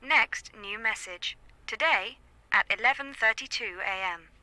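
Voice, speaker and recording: A woman's voice: fairly high pitch, measured pace, bright, forceful tone, no room sound, rough recording. A woman voicing an impersonal automated voicemail system, clipped and emotionless. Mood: impersonal, neutral. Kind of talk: automated phone announcement.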